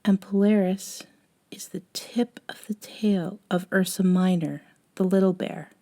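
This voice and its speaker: Girl acting a sweet caring young woman for asmr roleplay, real soft n slow, warm mellow voice, kinda hesitant, half whispering. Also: mid-range voice, fairly even intonation, natural voice. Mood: soft, thoughtful. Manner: soft, hesitant, often sighing and dropping to a whisper. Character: gentle, caring young woman with a wistful, guilty streak. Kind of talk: intimate ASMR roleplay audio drama.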